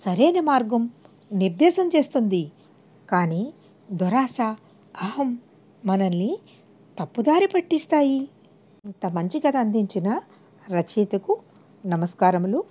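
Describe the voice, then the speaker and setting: A woman's voice: a little high, very animated, unhurried, pauses often, slightly rough. A woman narrating a scripted moral story as an audiobook storyteller, on a lo-fi recording. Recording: dull, lo-fi voice recording.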